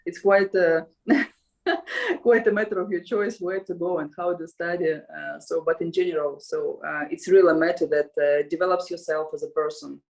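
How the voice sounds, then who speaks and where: A woman's voice: lowish voice, fairly even intonation, normal speed, a little mellow, rough recording. A woman with a Russian accent, a language school co-founder answering interview questions in a hesitant way, with frequent fillers and light laughs. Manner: hesitant, many fillers and restarts, laughs often.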